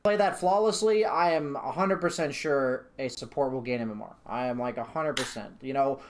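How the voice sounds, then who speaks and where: A man speaking as a coach, blunt and sure of himself. His talk is casual and direct. His pitch sits in a middle range. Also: normal speed, a little hiss or hum. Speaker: game coach.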